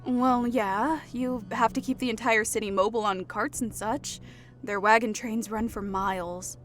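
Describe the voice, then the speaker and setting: A man narrating an audiobook voices Olivara, a wry young woman leader, in a high soft tone. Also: fairly even intonation, moderate pace, soft background music. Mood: matter-of-fact.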